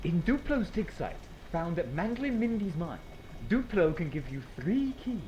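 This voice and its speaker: An actor voicing a small, bossy podcast host in an audio drama. The delivery is emphatic and mock-grandiose, with big swings in pitch and an exasperated, teasing edge, over noisy ambience. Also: very animated, normal speed, warm tone, lo-fi sound, natural voice. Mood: showy. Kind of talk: audio drama podcast banter, theatrical announcing.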